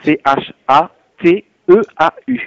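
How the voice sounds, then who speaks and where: A man's voice: fairly high pitch, sing-song, slow, creaky, dry recording. Man on a tinny phone line, patiently explaining. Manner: patient, helpful, explains step by step. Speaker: hotel night receptionist. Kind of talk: phone customer service, spontaneous conversation. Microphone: telephone.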